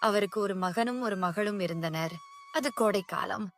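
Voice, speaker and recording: A woman narrates a children's cartoon story in an even, measured storytelling voice. Her pitch sits mid-range, and soft music plays behind her.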